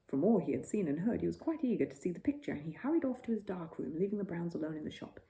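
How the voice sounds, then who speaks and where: Mellow, very animated woman storyteller. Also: on the low side, fast. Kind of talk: expressive children's bedtime story narration.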